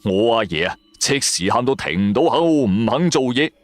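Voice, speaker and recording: A male storyteller delivers scripted drama narration. His pitch swings widely and his manner is colloquial and suspenseful. The voice is close and dry, over faint music. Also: big pitch swings, normal speed, no room sound. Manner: colloquial, suspenseful, rhetorical questions.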